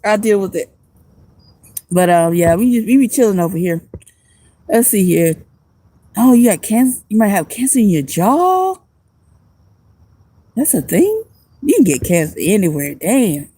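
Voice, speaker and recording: A woman's voice: medium pitch, lively intonation, measured pace, long pauses, warm tone, slightly rough, no echo. A woman who is a homeless vlogger and music producer, chatting casually and rambling in slang on a phone livestream outdoors at night.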